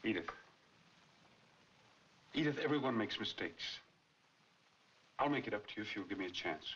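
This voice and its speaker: An actor playing a smooth-talking, guilty middle-aged husband in a 1940s film noir, speaking earnestly. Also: male voice, on the low side, expressive, moderate pace, fairly bright tone, slight background noise. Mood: pleading, contrite. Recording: dull 1940s film soundtrack, music behind.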